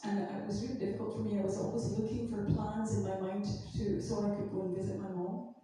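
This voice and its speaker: A woman's voice: medium pitch, level tone, brisk, warm tone, a little hiss or hum. A woman interpreting a church testimony sentence by sentence, rephrasing plainly. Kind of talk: consecutive interpreting into English. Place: chapel. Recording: echoey chapel from far off.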